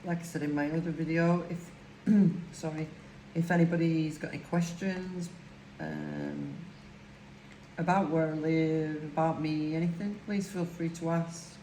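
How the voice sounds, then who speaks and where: A woman's voice: deep voice, lively intonation, a little slow, dark tone. An older woman from Lancashire with a broad Lancashire accent, chatting casually at home while she crafts, rambling with many fillers. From Lancashire, England. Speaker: crafting vlogger. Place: home, indoors. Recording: echoey room with faint hiss.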